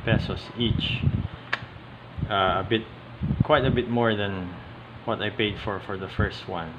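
Slow, halting man over loud background noise. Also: lowish voice, highs cut off. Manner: halting, hesitant, pausing often mid-sentence. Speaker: hobbyist video host. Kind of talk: casual unscripted show-and-tell commentary. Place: indoors at a tabletop. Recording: steady loud air-conditioning hiss, handling clicks. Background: loud background noise, clicks.

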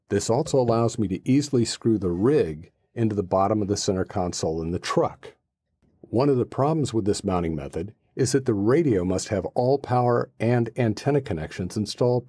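Middle aged guy doing a how-to, dark relaxed voice, matter of fact, really dry sound. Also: fairly low pitch, slightly rough, dry recording. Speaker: host presenting a radio installation. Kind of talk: explanatory how-to presentation.

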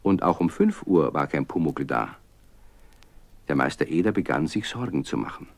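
Deep, lively male storyteller. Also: moderate pace, gravelly, dry recording. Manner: storyteller addressing young listeners with teasing questions. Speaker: narrator. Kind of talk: scripted children's story narration.

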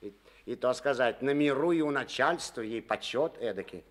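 A man's voice: mid-range voice, lively intonation, normal speed, lightly acted. A man playing a stubborn, middle-aged collective farm chairman in a Soviet radio play, with sly, rustic, grumbling speech. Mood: defiant.

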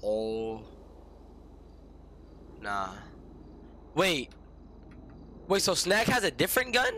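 A man's voice: on the high side, huge pitch swings, very slow, sharp tone, a little hiss or hum. An American man talking casually as a game streamer, slangy and swearing heavily, mixing roleplay with asides to his chat.

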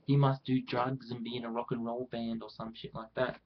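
A man's voice: mid-range voice, level tone, a little fast, muffled recording, slight background noise, pitched above the performer's own voice, natural voice. A man voicing a gushing, easily shocked old granny in a cooing, fussing manner for a vlog skit. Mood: scandalised.

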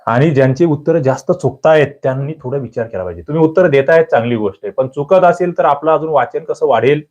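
A man's voice: fairly high pitch, expressive, fast, darkish tone, highs cut off. A man teaching an exam-prep history lesson, reading out quiz questions and answers and coaxing his students, repeating the key answers.

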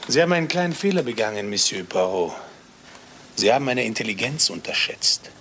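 An actor playing a polite, cultured gentleman murderer in a German radio crime drama. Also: medium pitch, very animated, fairly quick, background noise. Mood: smug, gloating.

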